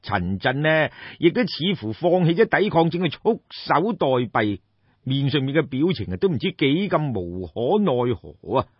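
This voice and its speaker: Husky, animated Cantonese storyteller man. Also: medium pitch, moderate pace. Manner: colloquial, exclamatory, theatrical. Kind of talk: dramatic traditional Cantonese audiobook storytelling. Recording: dull, roomy lo-fi recording.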